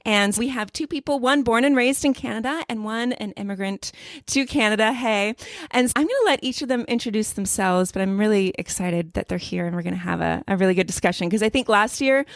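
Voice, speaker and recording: A woman from the United States co-hosting a casual podcast, playful and quick to laugh. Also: mid-range voice, dry recording, clean studio sound. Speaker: podcast co-host.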